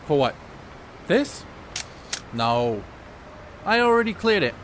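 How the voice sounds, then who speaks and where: Male actor as secretive educated ballplayer, dry precise wording, lively intonation, 1930s stage play, slight background noise. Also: fairly high pitch, slow. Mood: guarded, matter-of-fact. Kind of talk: amateur stage play acting, 1930s period dialogue.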